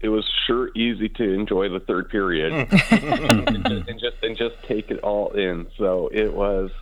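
A man with a hoarse, raspy voice after celebrating, answering casual interview questions in a halting way, repeating words as he goes. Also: fairly low pitch, a bit bright. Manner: halting, repeats words as he gathers his thoughts. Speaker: interview guest, hockey team co-owner and businessman. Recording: dull, phone-like band-limited line.

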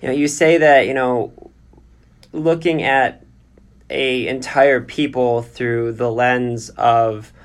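A man's voice: medium pitch, fairly even intonation, slow pace. A man interviewing a guest conversationally, building long, tentative questions.

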